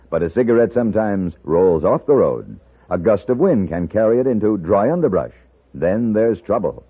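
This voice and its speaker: A husky, low male announcer on a narrow-band old radio recording. His delivery is polished and emphatic in the 1950s manner, instructing the listener with lively rises and falls.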